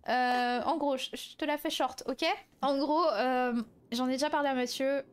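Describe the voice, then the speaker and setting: Girl roleplaying, really high voice, slangy casual improvised chatter. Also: fairly even intonation, moderate pace, clean tone. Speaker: roleplayer playing a young woman character. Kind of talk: casual in-character improvised chat.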